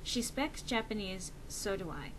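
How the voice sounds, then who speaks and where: Animated, theatrical woman reading a story. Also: medium pitch, measured pace. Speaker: reader of a fan fiction. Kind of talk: dramatic comedic story reading. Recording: noisy amateur home recording.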